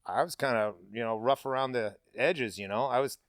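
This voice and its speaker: A man's voice: medium pitch, fairly quick, dry recording, clean studio sound. A middle-aged man, a paving business owner, talking candidly and bluntly with lots of casual fillers. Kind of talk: candid interview talk.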